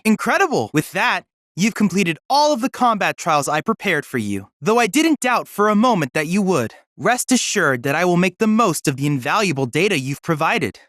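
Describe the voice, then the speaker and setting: Young android boy researcher, video game dialogue, earnest, precise, polite, animated intonation, close dry sound. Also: mid-range voice, no echo. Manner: formal, precise, courteous, earnest.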